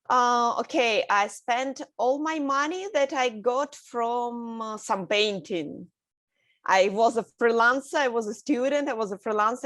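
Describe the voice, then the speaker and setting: A woman from Russia with a Russian accent, a guest in a casual interview, speaking haltingly with many fillers and soft laughs. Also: fairly high pitch, dry recording. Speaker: guest artist.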